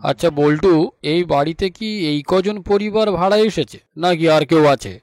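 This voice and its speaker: A man voicing a cartoon detective officer who questions and gives orders with calm authority. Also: high voice, normal speed, no echo, soft background music, lightly acted. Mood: calm, probing. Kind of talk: cartoon voice acting in Bengali.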